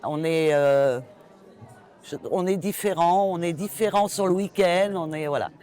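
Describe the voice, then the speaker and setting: A man's voice: sits high, measured pace, a little mellow, a faint crowd. A man working in public service, giving an unscripted interview and often repeating his phrases. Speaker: public service staff member.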